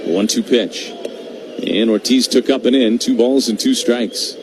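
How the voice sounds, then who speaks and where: A man doing live baseball radio play-by-play in measured calls with pauses between them. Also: mid-range voice. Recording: noisy live radio broadcast.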